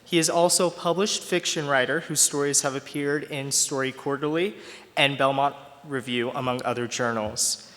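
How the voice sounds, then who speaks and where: A man's voice: mid-range voice, fairly even intonation, moderate pace, a bit bright. Man reading a formal introduction in a hall. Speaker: event host introducing the speaker. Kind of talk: read introduction. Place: lecture hall. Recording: reverberant hall.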